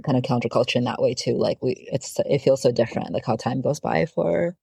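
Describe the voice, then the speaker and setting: A woman's voice: extremely low pitch, a little fast, vocal fry, dark tone, husky, dry recording, clean studio sound. A woman of about forty hosting a podcast in casual conversation. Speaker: podcast host.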